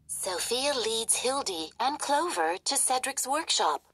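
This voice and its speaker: A woman reading a children's story aloud in an expressive, theatrical manner. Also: on the high side, measured pace, slightly rough, low-quality recording. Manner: animated, theatrical. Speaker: storybook reader.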